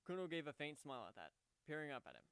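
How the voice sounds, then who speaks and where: A man's voice: on the high side, very animated, very fast, gravelly, a bit bright, clear, resonant tone, no room sound. A man narrating a scripted story as a dramatic reading.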